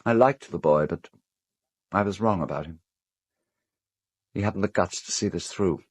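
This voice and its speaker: Cold, low, husky British man. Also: unhurried, no room sound. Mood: cold contempt. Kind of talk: full-cast audio drama acting.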